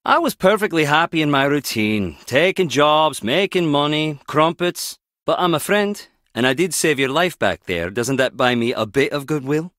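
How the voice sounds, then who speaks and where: An actor playing a wry, guarded skip tracer in a video game, teasing yet protective, speaking in a clipped, conversational way. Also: male voice, a little high, animated, moderate pace, hardly any pauses, fairly bright tone, no echo, studio quality. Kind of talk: video game character dialogue.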